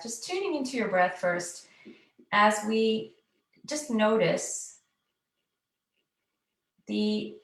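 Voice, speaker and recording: A woman leading a live guided meditation, giving soothing, unhurried, gently coaxing instructions. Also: mid-range voice. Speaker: guided meditation teacher, host. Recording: roomy echo, distant mic, loud music behind. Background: loud meditation music.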